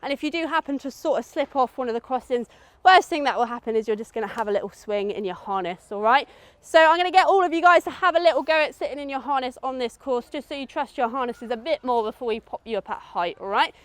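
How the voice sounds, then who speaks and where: A woman's voice: high voice, lively intonation, fast talker, no echo. A woman with a British accent giving an informal, instructive safety briefing to a group, often checking they follow. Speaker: outdoor adventure course safety instructor.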